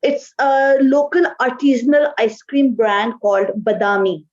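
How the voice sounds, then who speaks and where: A woman whose first language is Urdu, a marketing manager answering interview questions thoughtfully, often pausing with "uh". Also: fairly high pitch, a little mellow. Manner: thoughtful, with frequent "uh" pauses. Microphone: remote call. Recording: dull, lo-fi call audio.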